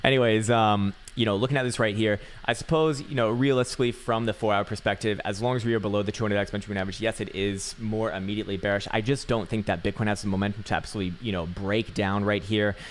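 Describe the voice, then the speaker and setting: A raspy-voiced man giving casual, rambling chart analysis, swearing now and then and full of fillers and restarts. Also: fairly low pitch, steady tone, fast talker, hardly any pauses, a little hiss or hum. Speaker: market analyst.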